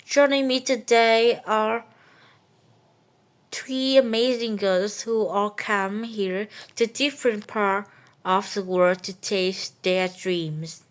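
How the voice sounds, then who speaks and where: A woman's voice: mid-range voice, fairly even intonation, slow pace. A woman hosting a podcast, reading a friendly, upbeat scripted introduction. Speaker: podcast host.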